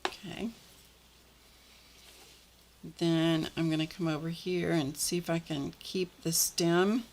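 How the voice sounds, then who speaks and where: A middle-aged woman with a slightly croaky voice, hosting a live art journaling session and chatting casually while she works with her hands. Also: low-pitched, slight background noise. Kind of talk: live craft demonstration.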